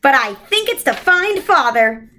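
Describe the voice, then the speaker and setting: A woman's voice: sits high, very animated, measured pace, sharp tone, slight background noise, exaggerated voice. A woman voicing a precocious, bossy little boy from a storybook, eager and urgent. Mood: excited, urgent.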